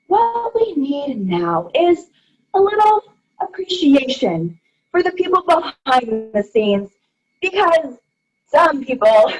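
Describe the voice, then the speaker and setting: A woman's voice: high-pitched, exaggerated voice, low-quality recording. A teenage woman playing a showy swing-era radio announcer in a radio play, emphatic and theatrical. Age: late teens. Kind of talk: 1930s radio announcer acting. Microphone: headset on a video call. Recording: crowd noise behind.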